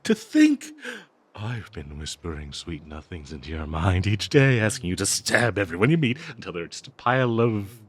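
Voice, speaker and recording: Breathy, swooping, obsessive cursed dagger. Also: male voice, sits low, sing-song, exaggerated voice. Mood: gleeful, doting. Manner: theatrical, halting, gushing, flowery endearments, breathy giggles. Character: obsessive cursed talking dagger, bloodthirsty, doting, giddy, fawning. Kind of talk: audio roleplay, theatrical yandere monologue.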